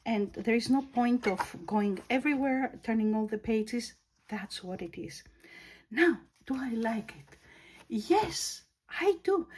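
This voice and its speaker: Greek-accented woman, planner vlogger, unhurried, soft husky tone. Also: sits high. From Greece. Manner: slow, thoughtful, searching for words. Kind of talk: casual product review.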